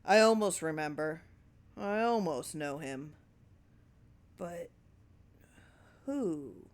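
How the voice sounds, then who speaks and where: An unruffled, watchful man muses slowly over his words as a woman reader acts him out. His drawl is measured and lowish, in the manner of a storybook character thinking aloud. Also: lively intonation. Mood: quietly intent. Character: unperturbed, watchful male film man, musing over a memory.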